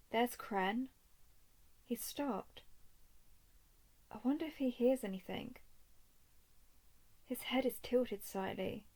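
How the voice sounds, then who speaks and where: Woman voicing female dragon scout, fairly high voice, creaky edge, confident, watchful, teasing, deliberate audio drama delivery. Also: a little mellow. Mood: calm, watchful. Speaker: voice performer. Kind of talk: scripted roleplay audio drama monologue.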